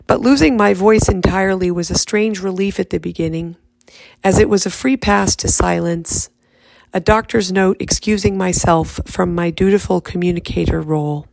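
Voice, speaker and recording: A woman reading her own poem aloud in a raspy, hoarse voice from laryngitis, speaking softly. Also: lowish voice, no room sound, poor audio. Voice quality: raspy, fried voice. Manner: soft, unhurried speaking.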